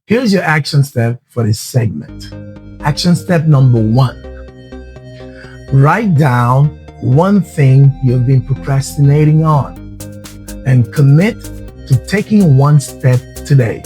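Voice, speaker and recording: A man's voice: medium pitch, animated, slow, dark tone, faint music behind. A man hosts a motivational podcast, speaking earnestly and restarting phrases as he coaches listeners. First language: Haitian Creole. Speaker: podcast host.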